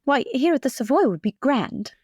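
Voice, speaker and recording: A woman's voice: high voice, expressive, moderate pace, darkish tone, breathy edge, no room sound, studio quality. A woman voicing an imperious, cunning society lady in tabletop roleplay, refined, formal and patronising. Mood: gracious, composed. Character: poised, imperious high-society lady, cunning older sister.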